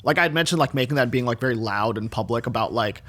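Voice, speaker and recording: Chef guy, 30s, rambles, tons of likes and ums, gets animated. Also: medium pitch, fast talker. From Chicago, USA. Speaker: guest chef. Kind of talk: casual interview chat.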